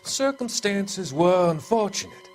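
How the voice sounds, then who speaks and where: A man's voice: high voice, expressive, unhurried, faint music behind. A male actor playing a cold, manipulative doctor in a video game, clinical and evasive. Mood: coldly evasive.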